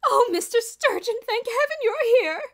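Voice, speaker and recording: A shrill, fluttering headmistress , put on by a woman narrator, begging in a high, slow, strained voice. Her pitch soars and wobbles with agitation. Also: expressive, a bit bright. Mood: pleading. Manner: shrill, pleading. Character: fussy middle-aged headmistress in a panic.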